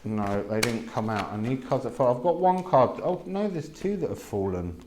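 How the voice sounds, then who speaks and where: A man giving a casual spoken card reading, musing slowly with many pauses. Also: medium pitch, expressive. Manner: slow, musing, drawn-out delivery with many pauses. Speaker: tarot and astrology reader. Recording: echoey room with faint noise.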